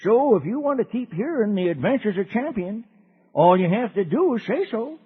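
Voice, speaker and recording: An American man playing a folksy old cowboy uncle, telling a radio serial to children in a rustic drawl with a husky voice. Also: sits high, big pitch swings, moderate pace, heavily acted. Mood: folksy, friendly. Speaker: narrator. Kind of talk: 1950s radio serial storytelling. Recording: dull old radio recording.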